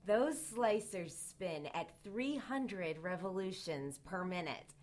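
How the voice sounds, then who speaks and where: A woman playing a prickly, defiant witch in her twenties in an audio drama, curt, sarcastic and measured. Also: lowish voice, darkish tone. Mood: cool, dry. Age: 20s.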